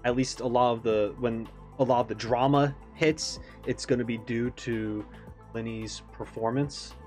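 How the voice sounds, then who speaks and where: A man's voice: mid-range voice, steady tone, a little slow, a little mellow. A man hosting a reaction video, giving casual, off-the-cuff commentary. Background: faint music.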